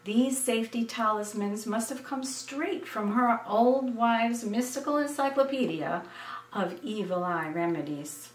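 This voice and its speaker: An American woman in her seventies reading a scripted personal story in an expressive, deliberate way. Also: a little high. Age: 70s. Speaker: essayist reading her memoir. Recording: roomy, distant microphone.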